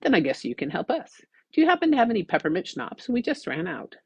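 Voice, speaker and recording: Lady reader as big jolly easygoing guy, sly, bouncy pitch, bit husky. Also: big pitch swings, fast, no echo, dull audio, full character voice. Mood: breezy. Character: big cheerful man, breezily unconcerned and sly.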